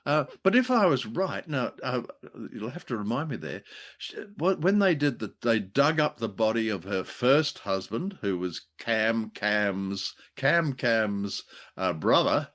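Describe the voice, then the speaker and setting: A man co-hosting a podcast in casual, unscripted discussion, with halting, hesitant phrasing. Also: mid-range voice, lively intonation, fairly bright tone. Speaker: podcast co-host.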